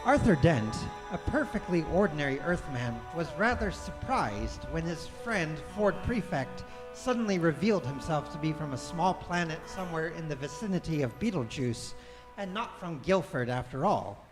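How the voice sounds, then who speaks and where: A man's voice: a little high, very animated, normal speed, low-quality recording. A man playing the narrator of a comic science fiction radio play, reading scripted narration in a live performance. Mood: measured, wry. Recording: music under the voice. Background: music.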